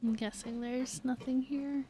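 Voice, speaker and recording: A woman's voice: high voice, fairly even intonation, unhurried, mellow, faint music behind. A woman live-streaming a game, chatting casually and reacting as she plays.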